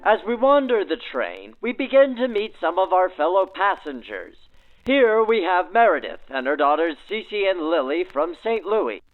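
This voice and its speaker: A man's voice: high-pitched, sing-song, normal speed. A man working as host and interviewer, presenting in a 1940s radio manner through an old-time radio filter. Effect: aged 1940s radio filter. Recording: narrow, lo-fi vintage radio sound with faint music.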